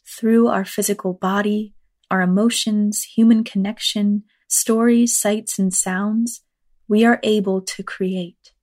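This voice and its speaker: A woman leading a guided meditation in a measured, unhurried manner, reading scripted lines with long pauses. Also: medium pitch, fairly even intonation, darkish tone. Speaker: meditation guide, actor and teaching artist.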